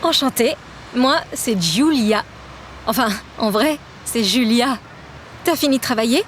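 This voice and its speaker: An actress playing a sweet, grateful young university student in an audio drama, polite and friendly. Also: female voice, fairly high pitch, lively intonation, unhurried, a little hiss or hum.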